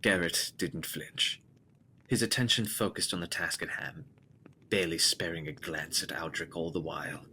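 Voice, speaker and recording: A man's voice: deep voice, fairly even intonation, moderate pace, bright, forceful tone, breathy edge. A man delivering scripted, dramatic narration of a gothic horror story.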